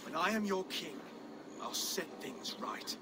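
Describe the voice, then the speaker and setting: Earnest, higher-voiced Saxon nobleman. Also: sing-song, a little slow, very noisy. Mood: pleading, earnest. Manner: courteous, earnest, soft-hearted. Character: earnest, mild-mannered Saxon nobleman and king-to-be. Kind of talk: video game cutscene acting.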